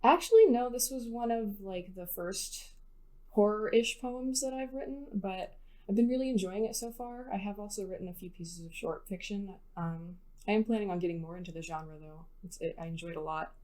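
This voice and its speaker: A woman's voice: mid-range voice, normal speed, a little mellow. A woman poet answering interview questions in a reflective, informal, conversational way. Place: recording studio.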